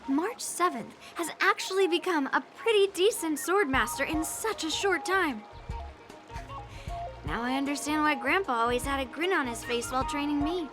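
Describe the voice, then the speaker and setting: Brash young swordswoman, anime game: high, unhurried, competitive, music underneath. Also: animated. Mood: proud. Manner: blunt, cocky.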